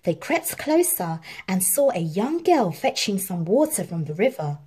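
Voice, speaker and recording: A woman reads a children's story aloud in an expressive, scripted style. Her pitch moves in lively swings, her pace is slow and measured, and her voice has a husky edge. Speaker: storybook narrator.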